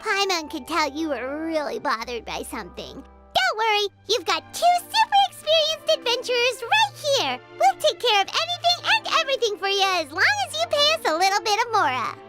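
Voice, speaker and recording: A woman voicing a tiny, childlike fairy companion in an anime-style video game, squeaky and chirpy, with an eager, skeptical temper. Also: big pitch swings, a little fast. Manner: speaks of self in third person, chirpy. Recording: loud game music behind voice.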